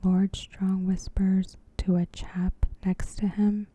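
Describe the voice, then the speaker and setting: A woman narrating an ASMR recap in a soft, breathy whisper, gentle and measured. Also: medium pitch, little pitch movement, a little hiss or hum.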